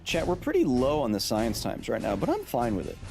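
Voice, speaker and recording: An excitable man chats through a live game stream, joking and yelling at what happens on screen. His pitch swings widely as he talks, and faint music plays under his voice. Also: fairly quick, gravelly. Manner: goofy, excitable, shouts at the game. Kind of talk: live gameplay commentary.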